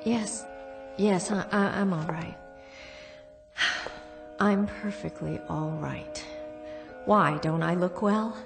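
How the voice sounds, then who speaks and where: A woman's voice: on the low side, slow pace, vocal fry, pauses often, over music. A woman playing an anxious, hesitant young traveler in a radio drama, flustered yet insistent. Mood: shaken. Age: young adult.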